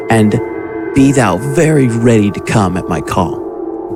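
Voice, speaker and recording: A man's voice: on the low side, vocal fry. An actor playing a domineering, cruel occult magus who talks down to his pupil in an audio drama. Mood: solemn ritual. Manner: condescending, commanding. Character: sinister, domineering male occult magus, cruel and mocking mentor.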